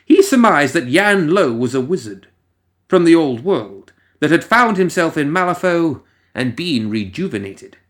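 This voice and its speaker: A man reading a scripted story as narrator, in a theatrical, ominous manner with lingering pauses. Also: on the high side, sing-song, a bit bright.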